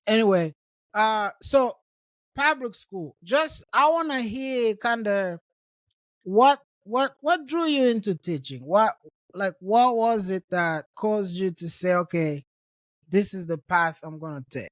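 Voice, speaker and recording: A man's voice: sits high, animated, slow pace, fairly bright tone, dry recording. A man from Rwanda hosting a podcast, talking in a friendly, casual way and often repeating words as he thinks. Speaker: podcast host. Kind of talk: conversational interview.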